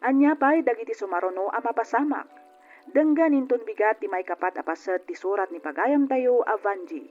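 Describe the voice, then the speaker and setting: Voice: medium pitch, animated, a little fast. A radio announcer delivers a scripted programme outro. The sound is very narrow and muffled, with faint music behind the voice.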